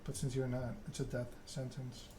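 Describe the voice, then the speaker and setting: Man voicing a bored, monotone vampire enforcer. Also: mid-range voice, normal speed, lightly acted. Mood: calm menace. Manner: calm, slightly bored monotone. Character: tall suave male vampire enforcer, calm, bored, menacing. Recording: clear background ambience noise.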